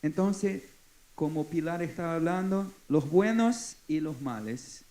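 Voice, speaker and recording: A man in his forties from Brazil with a Brazilian Portuguese accent, Portuguese his first language, speaking haltingly as a forum panelist. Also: on the high side, animated, warm tone. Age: 40s. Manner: halting, searching for words. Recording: echoey conference hall.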